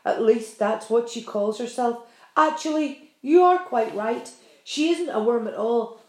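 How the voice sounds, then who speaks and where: A woman voicing a loud, quick-tempered male centipede who shouts rudely, in a storybook reading. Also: on the high side, lively intonation. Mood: irritated. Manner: shouting, rude. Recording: roomy echo.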